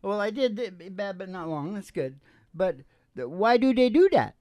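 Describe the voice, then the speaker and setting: A man's voice: high voice, animated, normal speed, mellow. A middle-aged man hosting with rambling, joking, casual stage banter between songs. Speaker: singer and show host. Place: small dim curtained stage indoors. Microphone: microphone on a stand. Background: acoustic guitar music.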